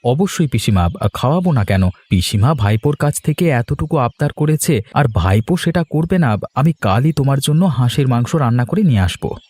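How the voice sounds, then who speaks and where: A man's voice: medium pitch, lively intonation, moderate pace, darkish tone. A man voicing a husband and nephew in a Bengali cartoon, speaking in an earnest, plain way.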